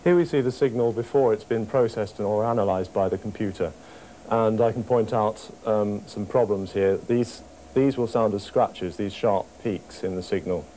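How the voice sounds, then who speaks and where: A man's voice: fairly low pitch, moderate pace, warm tone, rough recording. A young man talking through a technical demonstration as an engineer. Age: young adult. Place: small office workroom with computers. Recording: faint room noise, TV report.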